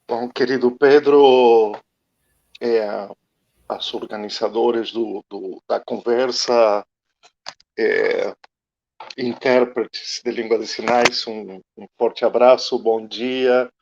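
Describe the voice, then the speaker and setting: A man with an Argentine Spanish accent, a native Spanish speaker, giving a reflective academic talk as a guest, slow and searching with many hesitations. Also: medium pitch, steady tone, gravelly, darkish tone, highs cut off.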